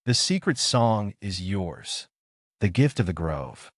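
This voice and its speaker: A man voicing a wise, kindly old forest guardian in a bedtime story, gentle and solemn in his measured delivery. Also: sits low, very animated, no room sound, studio quality, full character voice. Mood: pleased. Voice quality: soft, gentle.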